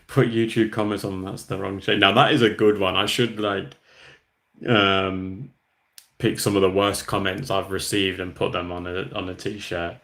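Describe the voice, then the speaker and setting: A man's voice: low-pitched, little pitch movement, moderate pace, gravelly, a bit bright. A man, host of a hobby channel, chatting casually on a livestream, rambling and reading out viewer comments. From Australia.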